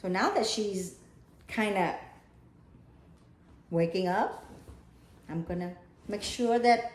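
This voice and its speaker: A woman reading a children's picture book aloud in an expressive, deliberate storytelling style. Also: mid-range voice. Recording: echoey room.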